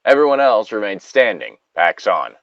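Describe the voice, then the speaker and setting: A man's voice: fairly low pitch, big pitch swings, moderate pace, a bit bright, no echo, lo-fi sound. A man reading a story aloud and breaking off into casual, joking, rambling, profane commentary. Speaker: reader and commentator of online stories.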